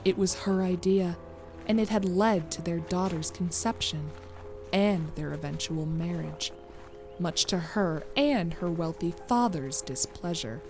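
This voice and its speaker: A woman's voice: lowish voice, a little slow, darkish tone, faint music behind. A woman narrator reading a scripted story.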